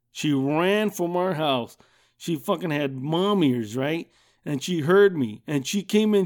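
Loud profane man telling a story. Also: fairly high pitch. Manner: profane, loud and animated, laughs often. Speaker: podcast host. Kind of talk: casual comedic storytelling.

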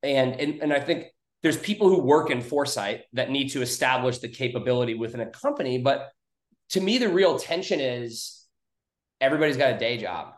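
A man speaks in a medium-pitched voice as a podcast host. His delivery is casual, blunt and loose, as if thinking aloud. The sound is noticeably echoey, like an untreated room. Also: animated, brisk.

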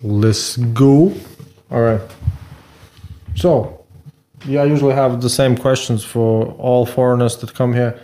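Male host, warm mellow voice, easygoing interviewer on a livestream. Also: mid-range voice, fairly even intonation, takes it slowly, long pauses. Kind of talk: casual interview.

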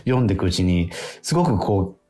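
Very deep-voiced male radio host, lively intonation. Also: fairly quick. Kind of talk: casual radio chat.

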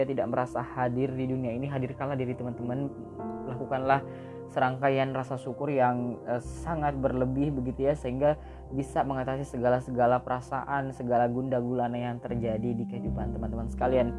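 A young man tells his own life story on an audio podcast in a loose, chatty, motivational way. He leans on fillers and drops English words into his talk at a middling pitch. Faint guitar music plays behind him. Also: little pitch movement, fast talker. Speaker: podcast host. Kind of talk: casual motivational storytelling monologue. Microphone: audio podcast recording.

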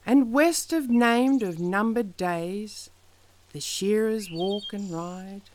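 A woman reciting poetry in a measured, dramatic style, with deliberate phrasing that falls away at the ends of lines. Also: mid-range voice, a little mellow. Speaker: poetry reader.

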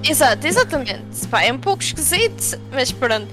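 A woman's voice: sits high, very animated, a little fast, over music. A young woman with a European Portuguese accent chatting informally and emphatically as an interview guest, telling anecdotes in slangy speech. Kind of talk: casual conversational anecdotes.

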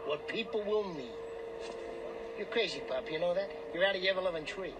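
A man's voice: sits high, brisk, noisy background, close to an everyday voice. An actor playing a surly, short-tempered streetwise man in a radio drama, scornful and slangy. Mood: mocking.

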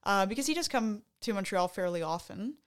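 A woman's voice: mid-range voice, lively intonation, fairly quick. Chatty Canadian woman podcast co-host. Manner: chatty, enthusiastic, trails off mid-sentence. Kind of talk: casual chat.

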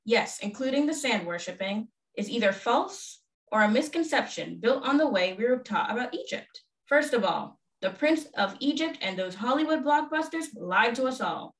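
A young woman hosts a history podcast and reads from her script with enthusiasm. Her voice sits a bit high. She is heard from some distance in a room with a lot of echo. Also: fairly bright tone. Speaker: podcast host. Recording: echoey room, voice off-mic.

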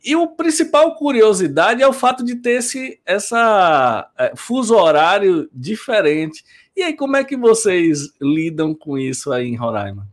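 A man with a high voice chats casually on a podcast. He pokes fun at himself and laughs easily between questions. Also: sing-song, measured pace. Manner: self-deprecating, laughs easily.

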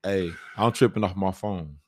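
A man's voice: sits low, normal speed, a little mellow. An American man chatting casually with fellow cast members in a slangy, informal way. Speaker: stage play cast member on a group talk show. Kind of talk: casual group chat.

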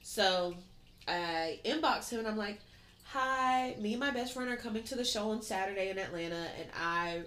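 A woman's voice: mid-range voice, normal speed, fairly bright tone. A young woman podcast co-host chatting casually and swearing freely, with lots of "um" and "like", while getting over a cold. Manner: chatty, profane, many fillers like "um" and "like". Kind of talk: casual chat.

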